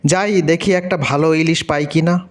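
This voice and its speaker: A man's voice: fairly high pitch, steady tone, normal speed, close to an everyday voice. A man playing an obliging, timid village husband and father in a cartoon, earnest and easily unnerved. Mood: cheerful. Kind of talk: cartoon dialogue acting. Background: faint music.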